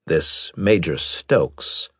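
A man voicing a large, gruff admiral in an audiobook, husky, deliberate and authoritative, with a skeptical, probing manner. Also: sits low, animated, dry recording, clean studio sound, exaggerated voice. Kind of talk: audiobook character dialogue.

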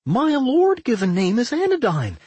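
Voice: medium pitch, huge pitch swings, normal speed, breathy edge, dry recording, voice pushed up, exaggerated voice. A narrator voicing a proud, boastful slime girl dungeon boss in an audiobook, declaring things grandly.

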